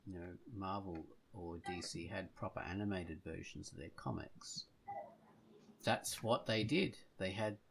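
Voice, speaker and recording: Casting: male podcast co-host, low gravelly register, informal and enthusiastic, relaxed conversational delivery. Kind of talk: casual podcast chat.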